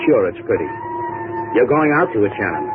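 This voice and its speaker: An actor playing a cold, menacing American gangster boss, terse and controlled, in 1950s radio style. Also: male voice, on the low side, very animated, a little fast, over music. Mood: taunting. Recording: tinny old radio broadcast.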